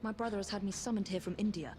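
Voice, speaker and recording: An actress voicing a determined young woman assassin in a video game, speaking in a crisp, direct, questioning way. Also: mid-range voice, rapid-fire, dark tone, a little hiss or hum, close to an everyday voice. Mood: urgent. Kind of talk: video game cutscene acting.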